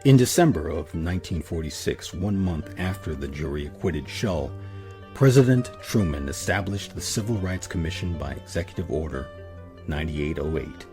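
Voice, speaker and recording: A man narrating a scripted history documentary as its host in a measured, solemn, deliberate manner. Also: extremely low pitch, lively intonation. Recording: narration over a music bed. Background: music.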